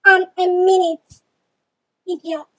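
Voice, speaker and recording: A young female performer voicing a bossy, two-faced babysitter in a children's game roleplay, with a deliberate, commanding manner. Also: very high-pitched, flat delivery. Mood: mean, bossy. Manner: slow, insulting, commanding. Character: evil babysitter, sugary at first, bossy bully.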